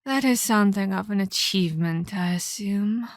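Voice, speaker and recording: A woman's voice: medium pitch, no room sound, clean studio sound, lightly acted. A woman voicing a menacing female vampire captor in a scripted ASMR roleplay, theatrical and mockingly sweet as she scolds and condescends. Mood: cold, taunting. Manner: theatrical, mock-polite, slow deliberate scolding.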